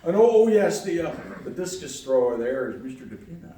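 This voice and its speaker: A man's voice: on the high side, big pitch swings, a little fast, a loud crowd. A man playing an easygoing, hospitable old grandfather in a live radio play, folksy and wry. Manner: folksy, unbothered. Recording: noisy echoey hall, distant mic.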